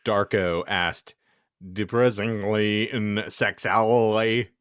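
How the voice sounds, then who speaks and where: A man's voice: fairly low pitch, fairly even intonation, takes it slowly, fairly bright tone, clean tone, no room sound, studio quality. A man hosting a podcast, giving a comic, mock-serious dramatic reading of fan fiction. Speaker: podcast host and reader.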